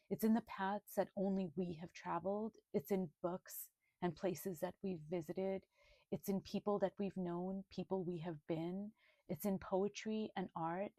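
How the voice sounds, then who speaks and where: A woman presenting her art journal in a video, speaking in a slow, thoughtful, gently earnest way. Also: fairly low pitch, no echo. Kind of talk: reflective video flip-through.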